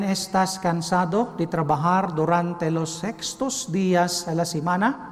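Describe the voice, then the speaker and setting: A Filipino man, a priest, giving a homily in careful, halting Spanish that is not his first language. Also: on the high side, long reverb tail. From Philippines. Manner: careful, halting non-native phrasing. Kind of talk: homily delivered in learner's Spanish. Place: cathedral.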